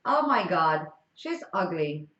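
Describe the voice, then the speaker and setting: A woman's voice: fairly low pitch, very animated, takes it slowly, fairly bright tone. A woman from France with a French accent, chatting casually with viewers on a stream and trading blunt, teasing opinions. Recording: echoey room, dull lo-fi sound.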